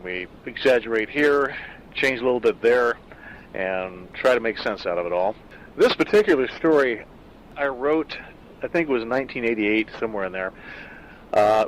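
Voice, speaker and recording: A man's voice: mid-range voice, a little hiss or hum, low-quality recording. A middle-aged man talking casually and thoughtfully about a story he wrote, with frequent fillers. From Southern California. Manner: reflective, conversational, loose with fillers. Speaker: author discussing his own story. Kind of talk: casual spoken afterword.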